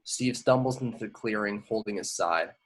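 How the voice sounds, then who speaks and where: A man with a fairly low voice reads action descriptions from a screenplay. The delivery is informal narration, with the odd stumble over words, as at a home table read. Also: normal speed. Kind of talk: reading screenplay stage directions aloud.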